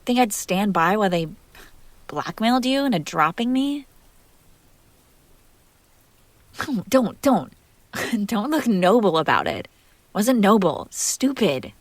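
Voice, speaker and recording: A woman playing a jealous, possessive and fiercely protective young woman in an intimate roleplay audio drama, speaking close to the mic in emphatic, halting bursts. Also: mid-range voice, big pitch swings, dry recording. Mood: frustrated, upset. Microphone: close microphone, dry sound.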